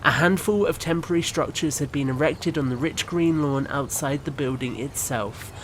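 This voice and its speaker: A man narrating a scripted audio drama in a measured, descriptive storytelling manner. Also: fairly high pitch, slight background noise, poor audio. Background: background music.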